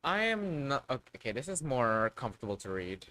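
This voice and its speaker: A man's voice: mid-range voice, very animated, brisk, sharp tone. A young man streaming a game, reading text aloud and making wry jokes. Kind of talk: casual livestream reaction.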